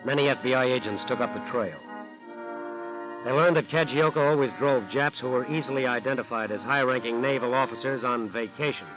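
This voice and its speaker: An American man narrating a 1940s radio crime drama in a grave, dramatic, deliberate manner. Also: medium pitch, close to an everyday voice, poor audio. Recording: old 1940s radio broadcast.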